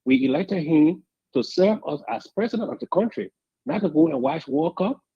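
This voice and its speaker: A man calling in to a radio talk show, speaking in a deliberate, measured way that builds to emphatic points. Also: a little high, animated, breathy edge, no room sound, highs cut off. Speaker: caller. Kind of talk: call-in radio commentary.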